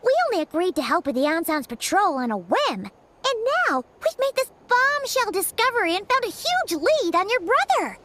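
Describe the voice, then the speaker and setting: A woman voicing a small, excitable, chatty fairy companion in a video game, with a squeaky childlike voice. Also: normal speed, slight background noise, full character voice. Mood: thoughtful. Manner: exclaiming, speaks of herself in third person. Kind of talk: animated video game voice acting.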